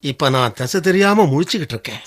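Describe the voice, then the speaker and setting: An actor as a high-voiced, desperate husband. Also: male voice, expressive, fast talker, lightly acted. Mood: troubled, pleading. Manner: emotional, earnest. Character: desperate, humbled husband who lost job and money. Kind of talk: Tamil radio drama acting.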